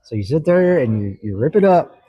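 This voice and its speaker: A middle-aged man with a Kentucky accent, a judge talking earnestly as a podcast guest, pausing often and restarting his sentences. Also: mid-range voice, sing-song, breathy edge, dry recording, highs cut off. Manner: earnest, halting, many fillers and restarts. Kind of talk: casual interview talk.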